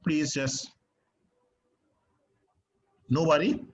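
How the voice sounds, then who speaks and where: A man with a Trinidadian accent teaching a stage acting class, lively and often asking "right?". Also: fairly high pitch, very slow. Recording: slightly dull recording with faint noise.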